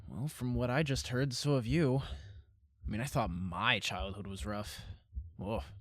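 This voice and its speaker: An actor playing a loyal young best friend in an audio drama, with a casual, wry, understated delivery. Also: male voice, medium pitch, steady tone, measured pace, no echo, clean studio sound, lightly acted. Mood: wry, gentle. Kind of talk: naturalistic audio drama acting.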